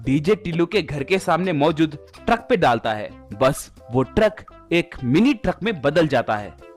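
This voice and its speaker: A man's voice: fairly high pitch, sing-song, measured pace. A man telling a scripted cartoon story as its narrator. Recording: music behind the voice.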